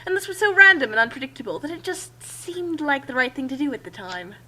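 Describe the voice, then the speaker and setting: A woman's voice: high voice, very animated, fast talker, bright, forceful tone, poor audio. A woman reading a story aloud as amateur dramatic narration. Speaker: narrator and co-reader.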